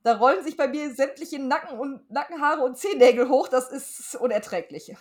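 A middle-aged woman with a fairly high voice. She chats casually as a podcast guest and speaks in a frank, emphatic way. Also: a little fast. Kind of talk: casual chat.

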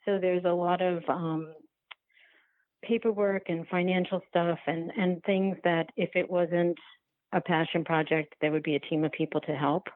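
A woman speaking casually as an interview guest, halting and restarting her sentences, over a thin phone-like line. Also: on the low side, fairly even intonation, dry recording. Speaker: interview guest, documentary filmmaker.

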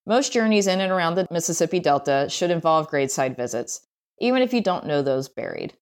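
A woman's voice: fairly low pitch, animated, fairly quick. A woman reading a scripted travel essay aloud as narration. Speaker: essay reader.

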